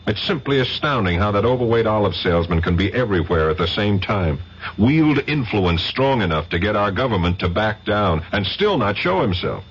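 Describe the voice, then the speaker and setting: An actor playing a wry American government investigator in a 1970s radio drama, with a dry, sardonic delivery. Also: male voice, on the low side, very animated, normal speed, a little hiss or hum. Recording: dull old radio broadcast.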